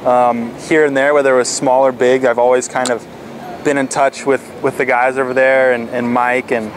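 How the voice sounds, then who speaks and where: A teenage man answering interview questions in a relaxed, casual way, with frequent fillers and restarts. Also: medium pitch, moderate pace, slight background noise, low-quality recording. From Florida. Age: teens. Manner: laid-back, lots of fillers and restarts. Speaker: stand-up paddle athlete.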